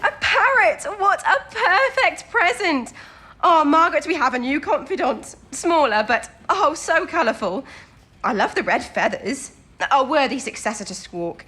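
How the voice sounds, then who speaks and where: An actress playing an excitable, self-doubting young wartime codebreaker with an eager, girlish manner in an audio drama. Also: high-pitched, measured pace, exaggerated voice. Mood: thrilled. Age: young adult.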